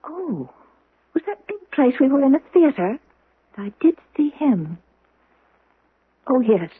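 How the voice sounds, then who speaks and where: An actress playing a sweet, confused young woman with a fogged memory in a 1970s radio drama, speaking in a breathy, wondering, faltering way. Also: mid-range voice, dry recording. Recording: muffled, narrow-band old radio broadcast.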